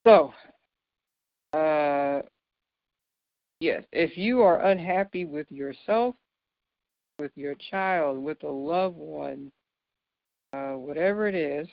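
Deep-voiced woman with gravelly texture. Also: no echo, dull audio. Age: 30s. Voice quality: deep, low voice. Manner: conversational and earnest, laughs between points. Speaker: speaker giving a devotional talk. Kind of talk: informal devotional sermon.

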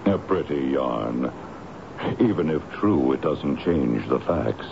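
An actor playing a gruff, hard-hearted tenant in a radio drama, curt, sardonic and dismissive. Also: male voice, very deep voice, animated, slow, heavily acted. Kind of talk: old-time radio drama acting. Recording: dull, noisy radio drama recording.

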